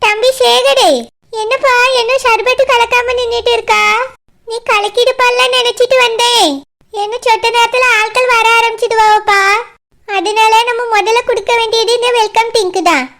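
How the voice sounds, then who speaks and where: A woman voicing a fretful, scolding old village grandmother in a cartoon, with a Kanyakumari Tamil accent and a pitched-up voice. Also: fairly even intonation, normal speed, creaky, a bit bright, exaggerated voice. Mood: worried. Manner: grumbling, sarcastic.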